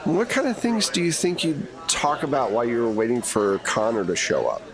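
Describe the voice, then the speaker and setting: A man narrating a tabletop roleplaying game as game master, in a measured, conversational way. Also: mid-range voice, lively intonation, low-quality recording.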